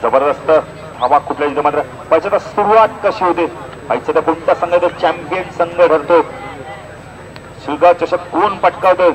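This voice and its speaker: Maharashtrian man calling local cricket, higher-pitched. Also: a little hiss or hum, rough recording. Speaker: cricket commentator. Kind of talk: live local cricket tournament commentary.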